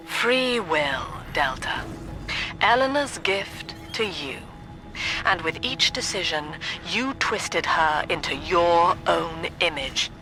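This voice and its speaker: Slow-spoken, cold British cult leader. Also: female voice, on the low side, lively intonation, over music, poor audio. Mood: bitter, accusing. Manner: measured, solemn, preachy formal diction. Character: cold, zealous cult-leader mother, controlling and severe. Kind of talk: video game villain monologue.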